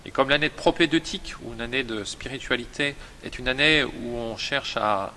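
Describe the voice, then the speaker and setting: A man who directs a seminary, explaining its history and numbers in a measured, hesitant way with many fillers. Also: medium pitch, bright, forceful tone, slight background noise. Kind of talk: explanatory interview.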